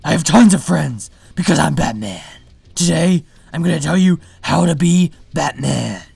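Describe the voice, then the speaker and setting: A man playing a brooding, boastful masked superhero in a parody vlog, with a raspy growl and a deadpan, self-important delivery. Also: a little high, moderate pace, dry recording, heavily acted. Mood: smug, brooding. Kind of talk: parody vlog monologue to camera.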